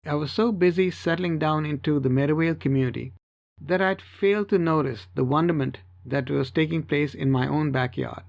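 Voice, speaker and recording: Higher-voiced man narrating a story. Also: fairly quick, creaky, dull audio. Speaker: audiobook narrator. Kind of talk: scripted first-person story narration.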